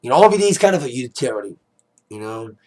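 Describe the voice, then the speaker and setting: A man with a raspy, worn-out voice giving a casual spoken review, rambling with plenty of "like" and "uh". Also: mid-range voice, big pitch swings, fast talker, darkish tone, rough recording. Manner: rambling, heavy on "like", "uh" and "you know". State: hoarse, voice fading after a DJ gig. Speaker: wrestling pay-per-view reviewer.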